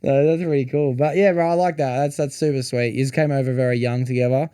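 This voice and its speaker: A man's voice: mid-range voice, fairly even intonation, quick pace, mellow, clean tone, dry recording. Laid-back man hosting a chat. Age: 20s. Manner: chatty, laid-back, says "yous" and "bro". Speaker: podcast host. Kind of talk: casual interview chat.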